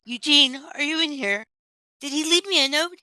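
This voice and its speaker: A woman's voice: high voice, normal speed, very noisy, close to an everyday voice. A woman playing a keyed-up, anxious police inspector in a comic audio play. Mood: anxious, urgent.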